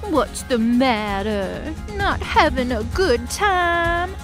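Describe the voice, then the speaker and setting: Woman doing a taunting demon lady in an audio drama, highish voice, slow and teasing, bit of music behind. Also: sing-song, full character voice. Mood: mocking. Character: taunting, playful, cruel demoness leader with horns and hooves.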